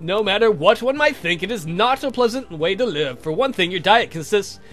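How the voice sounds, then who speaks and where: A man's voice: sits high, lively intonation, moderate pace, fairly bright tone, faint music behind. A man voicing a suave, haughty vampire who lectures in a formal, condescending, theatrical way for a game. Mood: smug.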